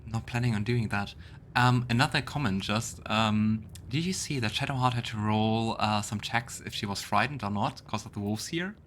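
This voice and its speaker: A man's voice: lowish voice, normal speed, a little hiss or hum. A man hosting a let's play, giving casual gaming commentary and thinking aloud in a conversational way. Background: loud game music.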